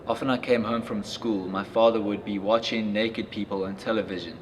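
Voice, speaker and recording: A young man reading a life story aloud, then reflecting in a halting way with lots of fillers. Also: on the low side, steady tone, soft background music. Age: young adult. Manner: halting, reflective when speaking freely, with many fillers. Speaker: youth reader.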